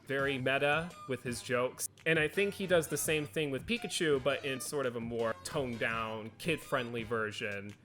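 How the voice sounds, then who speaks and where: A man's voice: mid-range voice, fairly bright tone. A man hosting a film review, talking through his opinions as commentary. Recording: music bed under voice.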